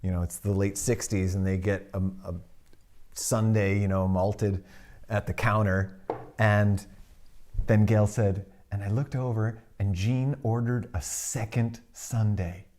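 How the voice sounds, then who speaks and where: A middle-aged man co-hosting a casual talk show, speaking haltingly and telling anecdotes. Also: lowish voice, steady tone, darkish tone, slight background noise. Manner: halting, hesitant, retells anecdotes. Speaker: co-host. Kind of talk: casual chat.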